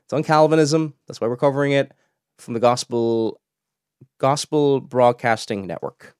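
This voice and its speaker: A man's voice: medium pitch, normal speed, a little mellow, clear, resonant tone, no echo, clean studio sound. A young man from Cork, hosting a podcast and giving chatty commentary with frequent restarts. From Cork, Ireland. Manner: chatty, restarts often, laughs in asides. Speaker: podcast host. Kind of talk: casual commentary.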